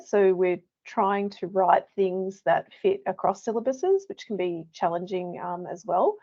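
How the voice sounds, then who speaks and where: A middle-aged Australian woman with a croaky voice gives a chatty webinar presentation as an education manager, with many fillers and restarts. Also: fairly low pitch, mellow. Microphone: computer mic on a video call. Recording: dull lo-fi webinar audio.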